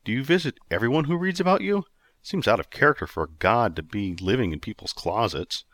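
A man's voice: mid-range voice, animated, brisk, fairly bright tone, dry recording, natural voice. A man reading the part of an impatient, sarcastic young man who wants to get ready for a date. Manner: dry, impatient.

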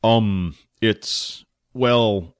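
A man's voice: lowish voice, drawn-out words, smooth, no room sound, studio quality. A man playing a big, naive, good-natured young barbarian in an audiobook, speaking earnestly and simply. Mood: flustered. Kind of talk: audiobook character voice.

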